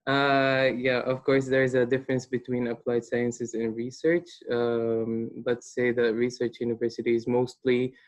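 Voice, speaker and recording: A nineteen-year-old man from Palestine presenting a webinar as a student, hesitant and pausing often. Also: mid-range voice, monotone. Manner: hesitant, with many pauses.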